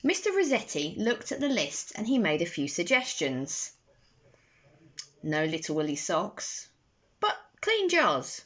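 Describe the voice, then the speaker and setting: Young woman, children's storybook reader, lively swooping intonation, unhurried, phone recording in a bedroom. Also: mid-range voice. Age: young adult. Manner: slow, deliberate, animated phrasing for children. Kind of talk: expressive children's bedtime story reading. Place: bedroom, indoors. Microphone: phone at arm's length. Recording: slightly dull phone recording.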